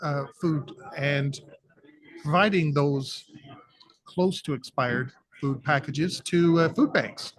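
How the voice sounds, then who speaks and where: A Canadian man co-hosting a live radio show, hoarse and losing his voice, speaking in an easygoing, hesitant way with many fillers. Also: on the high side, takes it slowly, rough recording. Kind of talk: casual live radio chat.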